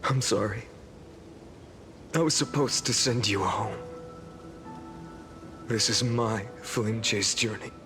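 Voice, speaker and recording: An actor playing an anguished, grimly resolute young male hero in a video game, halting and intense, with a breathy, gravelly undertone. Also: lowish voice. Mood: sorrowful. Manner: halting, intense, heavy delivery. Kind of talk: dramatic video game voice acting. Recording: studio voice over loud music.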